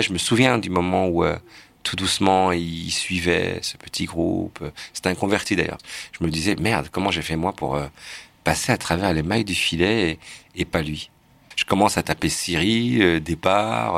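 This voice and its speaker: Man, very deep voice, quick talker, casual storytelling. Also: vocal fry, fairly bright tone, dry recording. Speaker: playwright and director. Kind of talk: documentary interview.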